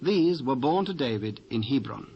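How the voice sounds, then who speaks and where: A British man narrating dramatised scripture in a measured, formal storytelling manner. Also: medium pitch, lively intonation, vocal fry. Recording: dull, hissy old cassette transfer.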